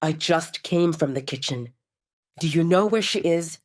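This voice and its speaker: A woman's voice: deep voice, measured pace, a little mellow, dry recording, studio quality. A woman voicing a stern, short-tempered young male werewolf leader with a curt, demanding manner in an audio drama. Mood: exasperated.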